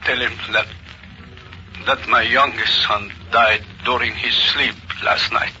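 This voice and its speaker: An actor playing a grieving father calling a doctor's office in an old radio drama, hesitant and halting. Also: medium pitch, steady tone, lightly acted. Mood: numb. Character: bereaved father on the phone, hesitant and numb. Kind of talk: 1930s radio acting. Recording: hissy dull old radio recording.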